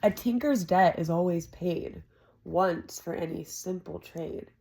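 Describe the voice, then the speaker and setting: A reserved innkeeper, a man who keeps to himself, read by a woman. The words come measured and precise, in a lowish voice with some creak. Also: warm tone. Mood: quietly correcting. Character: reserved male innkeeper, quiet outsider, precise.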